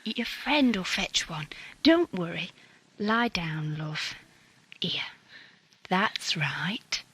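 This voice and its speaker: A woman playing a gentle motherly carer in an amateur audio drama, hushed, breathy and comforting. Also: lowish voice, expressive, takes it slowly, no echo, heavily acted, low-quality recording. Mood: soothing.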